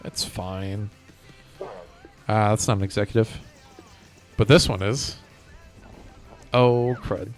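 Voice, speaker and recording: A man's voice: fairly low pitch, steady tone, takes it slowly, long pauses. A man chatting casually as he livestreams a video game. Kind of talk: casual gaming chat. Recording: music playing behind the voice. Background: music.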